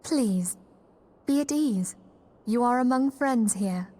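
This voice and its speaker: A woman's voice: on the high side, expressive, slow, warm tone. An actress playing a kindly, earnest young female leader in a fantasy game, speaking with poised, formal sincerity. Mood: earnest, composed. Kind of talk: fantasy video game voice acting.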